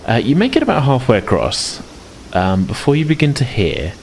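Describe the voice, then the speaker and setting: A man's voice: mid-range voice, huge pitch swings, moderate pace. A man running a tabletop game as game master, improvising descriptions and often groping for words. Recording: clear background noise.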